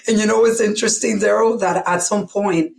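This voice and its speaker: A woman's voice: mid-range voice, fairly even intonation, fairly quick, gravelly, darkish tone, slight background noise. A middle-aged woman from the Dominican Republic with a Dominican Spanish accent, a guest telling her life story openly and reflectively, with many fillers. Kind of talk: candid personal storytelling.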